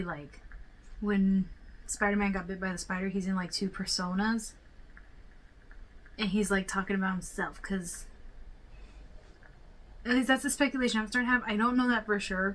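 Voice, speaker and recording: A woman's voice: medium pitch, a bit bright, poor audio. A woman giving casual reaction commentary, thinking aloud in a rambling, speculative way. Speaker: reaction video host. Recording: clearly audible background noise.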